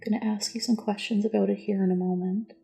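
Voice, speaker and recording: A woman speaks in a soft, dark, relaxed ASMR voice that often slips into a whisper. Her delivery is gentle and reassuring, with a noticeable creak as she gives unhurried instructions like a calm examiner. Music plays behind her. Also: medium pitch. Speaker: ASMR roleplayer as a test examiner. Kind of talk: soft-spoken ASMR personal attention roleplay.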